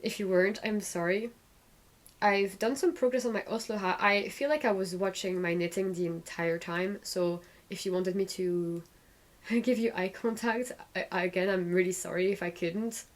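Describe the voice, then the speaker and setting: A woman's voice: mid-range voice, fairly even intonation, moderate pace. Chatty woman knitting while she talks. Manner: rambling, self-correcting, chatty. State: slightly croaky voice. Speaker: knitting podcast host. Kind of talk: casual knit-and-chat vlog.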